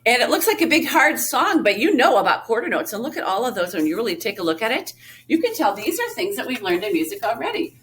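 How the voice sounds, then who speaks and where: A woman's voice: a little high, big pitch swings, fast talker, a bit bright. A woman music teacher giving a recorded lesson to young children, explaining patiently step by step. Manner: sings short phrases to show melody.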